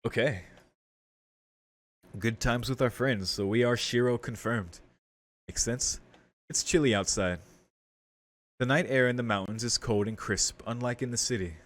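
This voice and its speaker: A man's voice: medium pitch, measured pace, long pauses, fairly bright tone. A man doing a casual game playthrough, reading text aloud and commenting, with game music underneath. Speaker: game streamer.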